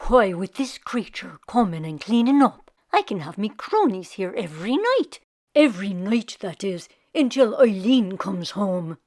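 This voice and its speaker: A woman's voice: medium pitch, lively intonation, measured pace, a little mellow. A woman voicing a lazy, boastful, scheming husband from a storybook, with a hearty, exclaiming delivery. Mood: pleased, scheming.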